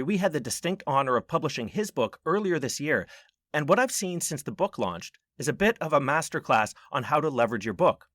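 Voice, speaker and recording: A man's voice: fairly high pitch, fairly bright tone, no echo, clean studio sound. A man hosting a podcast, presenting and interviewing in a conversational but orderly way. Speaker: podcast host.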